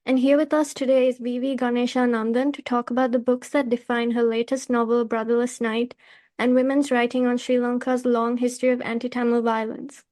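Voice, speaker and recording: Female literary podcast host, high voice with very flat intonation, formal and measured, scripted read. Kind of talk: scripted podcast introduction and questions.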